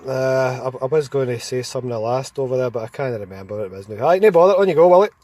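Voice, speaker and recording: A man's voice: mid-range voice, quick pace, dark tone. A Scottish man with a Glasgow accent chatting casually and plainly on CB radio, recorded on a phone inside a parked car. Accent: Scottish, Glasgow area. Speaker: amateur CB radio operator. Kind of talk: casual chat. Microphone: handheld phone.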